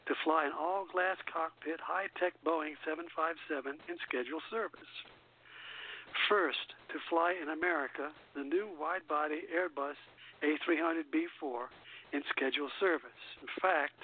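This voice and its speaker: A man's voice: a little high, normal speed. An older man presenting a nostalgia radio show, moving between reading out prepared notes and chatting. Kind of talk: scripted narration and chat on a nostalgia radio show. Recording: tinny phone-like line.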